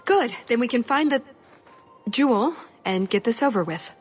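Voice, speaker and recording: A woman's voice: on the high side, animated, normal speed, slightly rough, faint music behind. An actress playing a young elf thief in a video game, wry, sarcastic and restless in her delivery. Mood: eager, guarded.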